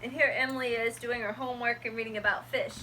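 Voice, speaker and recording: A woman teacher reading a picture book aloud to her students, gentle and expressive. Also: on the high side, fast talker, a little hiss or hum, poor audio.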